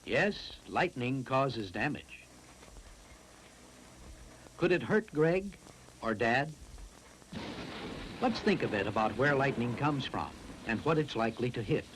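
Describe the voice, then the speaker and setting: A man reads scripted science narration for a classroom film, explaining in a deliberate, instructive way. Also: mid-range voice, animated, a bit bright, a little hiss or hum, poor audio.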